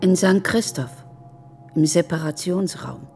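Quietly menacing captor, low and very soft-edged, measured, unyielding. German radio drama. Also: female voice, gravelly, faint music behind. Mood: calm, evasive.

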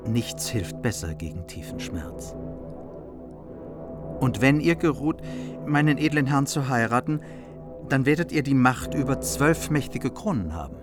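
An actor playing a noble margrave who speaks as a formal, earnest envoy in a radio play. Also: male voice, medium pitch, big pitch swings, measured pace. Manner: solemn, formal courtly speech. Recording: music under the voice.

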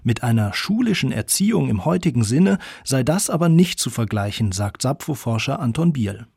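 Guy narrating a radio feature, scripted, creaky husky voice. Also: mid-range voice, very animated, normal speed, darkish tone, no echo, studio quality.

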